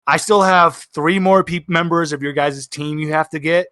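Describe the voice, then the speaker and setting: A man narrating a tabletop roleplaying game as game master, casual, excitable and theatrical. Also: fairly high pitch, normal speed, dry recording.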